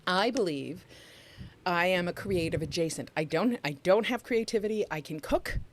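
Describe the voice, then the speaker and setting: A middle-aged woman co-hosting a podcast livestream, chatting warmly and emphatically with the audience. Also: lowish voice, expressive, moderate pace, slight background noise. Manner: chatty, emphatic, talks to the chat room. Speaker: podcast co-host. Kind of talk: casual livestream chat.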